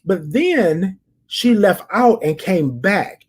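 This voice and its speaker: American guy doing a chatty podcast check-in, voice on the high side, repeats stuff to drive it home. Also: expressive, slow pace, husky. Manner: emphatic, repeats phrases for emphasis; addresses listeners directly as an audience. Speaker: podcast host. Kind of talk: casual chatty check-in and storytelling.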